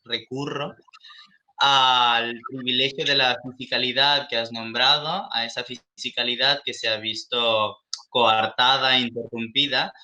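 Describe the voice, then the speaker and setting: Bright-voiced male round table moderator. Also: mid-range voice, fairly even intonation. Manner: slow, measured, formal introductions.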